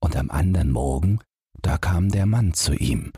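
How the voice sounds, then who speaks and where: A man reads a fairy tale in a low, very husky voice. His narration is expressive and unhurried, recorded close and dry. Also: no echo. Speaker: audiobook narrator.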